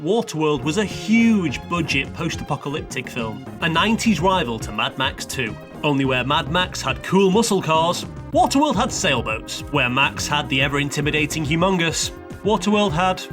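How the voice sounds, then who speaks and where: Male countdown reviewer, slightly high and very animated, sarcastic and mock-dramatic scripted narration. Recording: music playing behind the voice. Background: background music.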